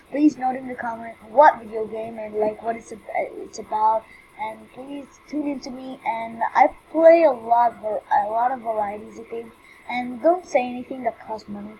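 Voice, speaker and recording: A young woman with a slightly high voice talks in a rambling, halting way, filling her pauses with ums and uhs. Her cheap microphone gives the sound a rough edge, with a faint hiss of noise underneath. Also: warm tone. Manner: rambling, hesitant, many fillers. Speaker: new YouTuber. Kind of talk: casual vlog intro. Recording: faint background noise, poor mic.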